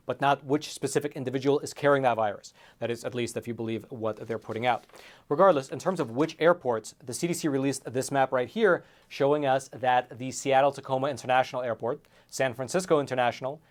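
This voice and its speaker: A man hosting a scripted news commentary show, speaking emphatically with conversational asides to the viewers. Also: medium pitch.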